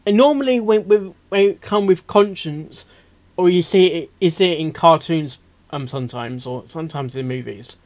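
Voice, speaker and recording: A man hosting a reaction video, rambling casually and excitably, stammering and repeating himself as he goes. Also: fairly high pitch, moderate pace. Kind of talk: casual off-the-cuff reaction commentary.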